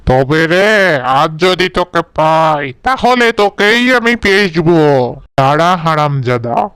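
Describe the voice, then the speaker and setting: A man's voice: fairly high pitch, big pitch swings, slow pace, fairly bright tone, smooth, no echo, clean studio sound, exaggerated voice. A man voicing a bullying, demanding tiger in a cartoon, with a threatening, easily angered manner. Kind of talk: cartoon character acting.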